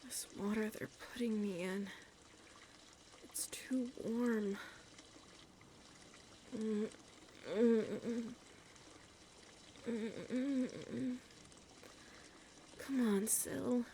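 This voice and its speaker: A woman acts out a young mermaid in an ASMR roleplay, speaking very slowly in a fairly high voice. She is anxious and hurt yet stubbornly feisty, murmuring to herself in halting bits, with ambient noise clearly behind her. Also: fairly even intonation, warm tone. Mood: weary, struggling. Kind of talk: ASMR audio roleplay, breathy dramatic acting.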